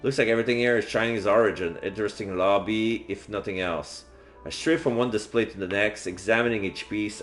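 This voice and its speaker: A man with a slightly low voice reads game text aloud as a streamer. He mixes narration with casual asides, and faint game music sits behind him. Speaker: streamer playing a visual novel.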